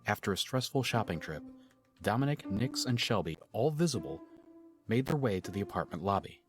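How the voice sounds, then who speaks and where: A man giving measured, scripted narration for an audio drama. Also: lowish voice, very animated. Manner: measured, storytelling. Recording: drama playback with music.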